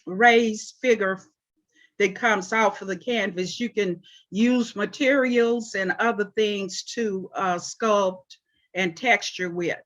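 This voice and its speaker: A woman in her seventies, originally from Oklahoma, answering interview questions about her life in an unhurried way with frequent pauses. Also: mid-range voice, fairly bright tone, lo-fi sound. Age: 70s. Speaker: interview guest, artist.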